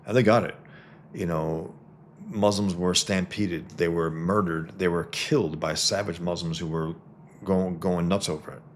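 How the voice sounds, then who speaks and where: A cartoonist from the Bronx, talking casually to followers on a livestream, with many fillers and restarts. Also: male voice, low-pitched, fairly even intonation, normal speed, a little hiss or hum. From the Bronx, New York. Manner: greets viewers mid-talk.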